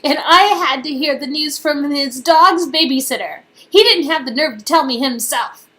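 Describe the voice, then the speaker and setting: A woman's voice: high voice, normal speed, a bit bright. A woman voicing a fiery, theatrical young woman on a drinking night in a story game. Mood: indignant.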